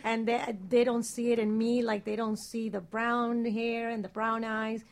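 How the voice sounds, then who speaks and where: A woman's voice: on the high side, flat delivery, unhurried, vocal fry. A woman chatting casually and candidly as a panelist, blunt and emphatic, repeating herself to make a point. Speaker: talk show panelist.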